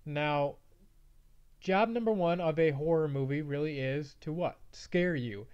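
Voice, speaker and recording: A man's voice: a little high, a little slow, very noisy. Man with a slight cold, slightly muffled audio. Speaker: movie review show host. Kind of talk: casual movie review to viewers. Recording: slightly dull, band-limited audio.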